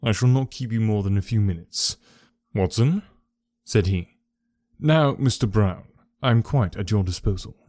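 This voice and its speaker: A man reading aloud, voicing a calm, sharp-minded male detective who speaks smoothly and with quiet command. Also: on the low side, normal speed, pauses often, no room sound, studio quality, natural voice.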